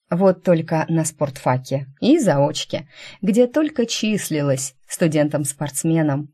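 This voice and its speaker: A woman reading fiction as an audiobook narrator, in a conversational, expressive storytelling manner. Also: sits low. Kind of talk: scripted first-person fiction narration.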